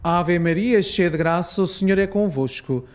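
A man with a European Portuguese accent leading a rosary, reciting solemn prayers in a measured, reverent way. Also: high-pitched.

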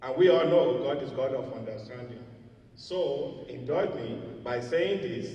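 A middle-aged man giving a slow, preacher-like ceremonial speech on stage at a gala, holding a handheld mic. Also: mid-range voice, lively intonation, dark tone, muffled recording. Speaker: libation speaker at a gala. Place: large hall, on stage. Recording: echoey hall from far off.

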